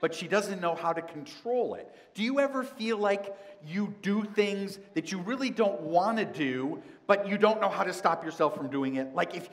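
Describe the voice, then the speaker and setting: A middle-aged man, a pastor, giving a children's sermon and asking the kids many gentle questions. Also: high voice, expressive, moderate pace, hardly any pauses. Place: large church sanctuary. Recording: long church reverb.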